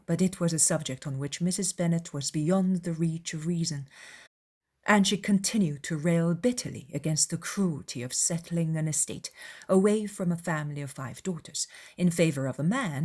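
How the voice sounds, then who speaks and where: A woman's voice: lowish voice, expressive, normal speed, a little mellow. A woman reading scripted narration of a classic novel as an audiobook narrator.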